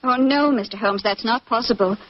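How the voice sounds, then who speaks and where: Actress as aristocratic lady, high-pitched, formal and earnest, vintage radio mystery with dulled sound. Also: fairly quick, lightly acted. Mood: distressed, insistent. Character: genteel, distressed English society lady. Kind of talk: 1940s radio mystery acting. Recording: muffled 1940s radio broadcast.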